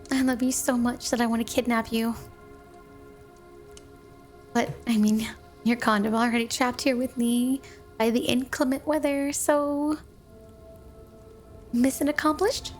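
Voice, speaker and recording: Yandere snow woman for ASMR roleplay, played by a woman: slightly high pitch, affectionate, flirtatious, clingy, light music underneath. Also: steady tone, unhurried, long pauses, close to an everyday voice. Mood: doting, playful. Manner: flirty, affectionate, teasing, coy. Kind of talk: ASMR roleplay, intimate monologue to a listener.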